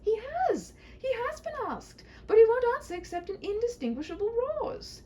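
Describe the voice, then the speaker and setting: Woman voicing a pleading, high-pitched young princess. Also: big pitch swings, normal speed, darkish tone. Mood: exasperated, tearful. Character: pleading, weepy young princess, brave and sweet. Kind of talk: humorous fairy-tale read-aloud.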